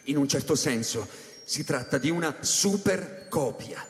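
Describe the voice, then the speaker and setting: Troubled scientist in echoing space. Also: male voice, on the high side, lively intonation, measured pace. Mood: earnest, explaining. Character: troubled male scientist recounting his own story, Kelvin. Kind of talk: dramatic first-person narration, radio drama. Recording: echoey hall with long reverb.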